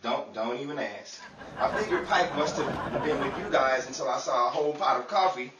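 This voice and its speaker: A man playing a befuddled, excitable wisecracking sidekick in a 1930s radio comedy, in an echoey, noisy recording. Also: mid-range voice, normal speed, over a noisy crowd, lightly acted. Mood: bemused storytelling. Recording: echoey room, far mic, noisy background.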